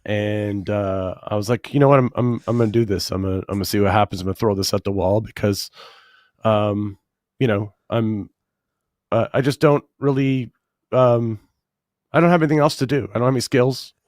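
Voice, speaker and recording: Male comedian guest, lowish voice wearing out from illness, deadpan delivery, close dry sound. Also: moderate pace, dry recording. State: losing his voice after bronchitis. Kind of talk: casual interview chat.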